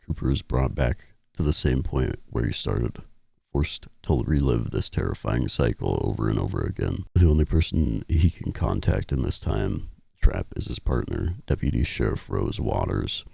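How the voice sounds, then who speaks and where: A man's voice: extremely low pitch, lively intonation, moderate pace, vocal fry, dark tone, husky, no echo. A man playing a horror game and commenting casually, sniffly as he gets over a cold. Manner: casual, reads game text aloud. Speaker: gaming YouTuber. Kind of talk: let's play commentary.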